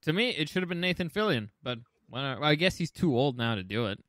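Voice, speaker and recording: A man's voice: a little high, very animated, fairly quick, a bit bright, dry recording. A man hosting a casual movie chat, opinionated and emphatic, swearing freely. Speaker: podcast host.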